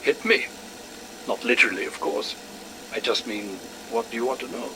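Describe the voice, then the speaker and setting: An actor playing a fussy, wry scientist with a formal, pedantic manner in an audio drama. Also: male voice, medium pitch, very animated, normal speed, background noise, close to an everyday voice. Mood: wry, playful. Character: fussy, wry, verbose male scientist, smugly playful.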